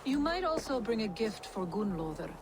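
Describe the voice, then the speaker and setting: A woman's voice: mid-range voice, very animated, normal speed, noisy background. A woman playing a shrewd, self-serving schemer in a video game, blunt and mocking as she bargains. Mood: scornful, businesslike. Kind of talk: video game cutscene dramatic acting.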